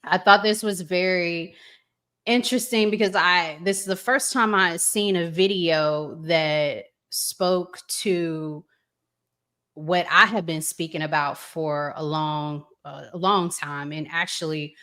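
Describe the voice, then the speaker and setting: A woman's voice: lowish voice, fairly even intonation, slow, fairly bright tone. A woman hosting a relationship talk show, speaking informally in casual conversation. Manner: informal, colloquial. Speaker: host of a relationship discussion show.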